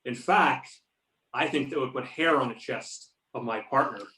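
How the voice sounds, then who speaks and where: A man's voice: mid-range voice, moderate pace, bright, forceful tone, slight background noise, lightly acted. A man acting a wry, easygoing federal agent in an amateur readers theater over a video call. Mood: friendly, probing. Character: wry male FBI agent back in his hometown. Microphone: videoconference or conference call. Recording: roomy, distant videoconference mic.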